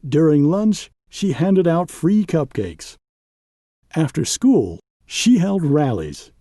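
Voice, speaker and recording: A man reading a children's picture book aloud as an expressive storyteller, with measured, dramatic phrasing and pauses for emphasis. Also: on the high side, no echo, studio quality.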